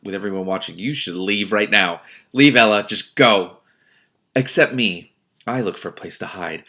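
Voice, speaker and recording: A man reading a novel aloud as host, with casual, exasperated asides in an expressive manner. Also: fairly low pitch. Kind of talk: audiobook-like reading with casual reactions.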